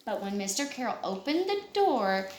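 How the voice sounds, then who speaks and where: A woman reading a children's picture book aloud with an expressive, lilting delivery. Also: on the high side, moderate pace, a little hiss or hum. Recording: echoey room.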